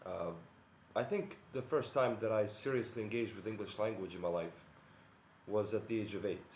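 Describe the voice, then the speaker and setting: A man from Bosnia, his tongue, giving an earnest, measured monologue with many pauses and fillers. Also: on the low side, roomy sound, slight background noise. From Bosnia and Herzegovina. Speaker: video commentator. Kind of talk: monologue to camera.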